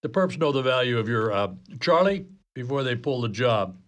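A man plays a trim gumshoe in a radio comedy. He questions in a dry, matter-of-fact way, with an ordinary mid-pitched voice. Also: animated, moderate pace, fairly bright tone, lightly acted. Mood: dry, reassuring. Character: trim, businesslike gumshoe police detective. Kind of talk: comedy radio play acting.

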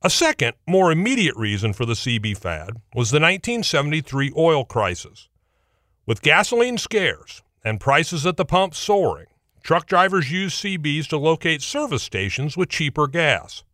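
A man's voice: on the high side, sing-song, moderate pace, pushed and bright, dry recording, clean studio sound. An American man hosting a history program, delivering a scripted essay in a folksy, wry, emphatic way. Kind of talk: scripted radio essay narration.

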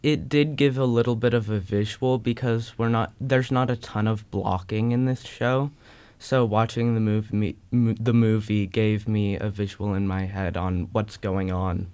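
A man's voice: lowish voice, fairly even intonation, measured pace, a little mellow, smooth. A young man, an actor, chatting casually in an interview.

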